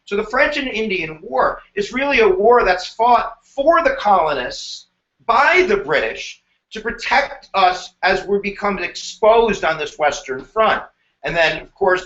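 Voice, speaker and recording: A man's voice: high-pitched, a bit bright. A middle-aged man teaching a history review on a live stream, playful and slangy. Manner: playful and slangy, jumping into goofy voices. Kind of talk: energetic live-stream lesson. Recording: dull echoey room sound.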